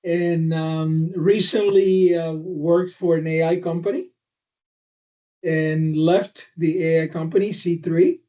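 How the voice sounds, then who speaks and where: A man, speaking haltingly with many fillers as a podcast guest. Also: fairly high pitch, fairly even intonation, dark tone. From Cuba. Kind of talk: conversational podcast discussion. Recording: distant, dull lo-fi audio.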